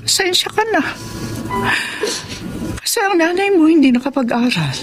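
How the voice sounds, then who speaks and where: Filipino mom in a radio drama, loving and apologetic, pleading softly with her grown kid, music under it. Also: very high-pitched, expressive, moderate pace. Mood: apologetic, grateful. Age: middle-aged. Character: loving, self-sacrificing, apologetic mother of grown children. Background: dramatic background music.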